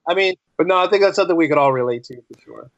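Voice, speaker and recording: A man's voice: a little high, lively intonation, racing, vocal fry, dry recording. A man speaking as a podcast guest, telling stories conversationally in an earnest, emphatic way that repeats phrases. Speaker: podcast guest, writer and teacher. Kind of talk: casual conversational storytelling. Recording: dry, slightly dull lo-fi line.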